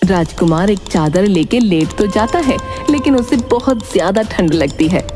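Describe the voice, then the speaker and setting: A female storyteller reads scripted fairy-tale narration in a mid-pitched voice. Music plays clearly beneath her words. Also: expressive, measured pace. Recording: music under the voice.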